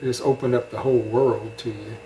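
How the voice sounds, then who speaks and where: A middle-aged man with an American accent reminisces casually while being interviewed. His pitch sits in the middle range. The room echoes around him and there is slight background noise. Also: steady tone, brisk, dark tone. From United States. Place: indoor room at a home. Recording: roomy echo, faint background noise.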